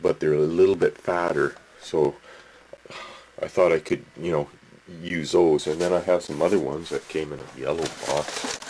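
A man's voice: a bass voice, steady tone, moderate pace, a little mellow, slight background noise, rough recording. A man, rambling through a casual home workshop tip and checking in with the viewer, recorded on a handheld camcorder. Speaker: hobby model builder. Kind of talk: casual home video tutorial. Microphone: camcorder mic, handheld.

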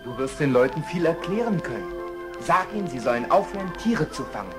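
An actor playing a conciliatory jungle animal counsellor, advising in a measured way in a children's cartoon radio play. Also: male voice, fairly high pitch, very animated, over music. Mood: kindly, serious.

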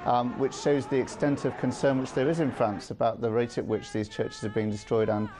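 A middle-aged man speaking as a guest in a live news interview, measured and earnest, with frequent ums. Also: medium pitch, flat delivery, over music. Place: studio with city view.

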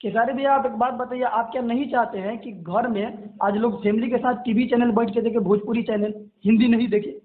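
A man's voice: very high-pitched, fairly even intonation, a little fast, hardly any pauses. A man arguing on a phone call, persistent and disagreeing while staying deferential. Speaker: caller criticising a singer. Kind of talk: argumentative phone conversation. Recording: muffled, tinny recorded phone call.

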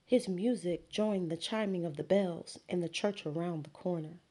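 A woman, a teacher, reading a children's picture book aloud with slow, expressive storytelling. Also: on the low side. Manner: slow, expressive storytelling with sound words and character voices.

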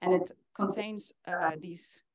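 A woman's voice: fairly low pitch, fairly even intonation, takes it slowly, crowd noise. Earnest young woman giving a talk. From Netherlands. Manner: earnest, with frequent fillers. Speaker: conference speaker. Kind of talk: presentation talk. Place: conference hall with audience.

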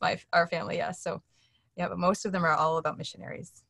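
A woman's voice: fairly low pitch, level tone, fast talker, vocal fry, a bit bright, dry recording, clean studio sound. A middle-aged woman chatting casually as an interview guest, agreeable and informal. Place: indoor room, on a video call.

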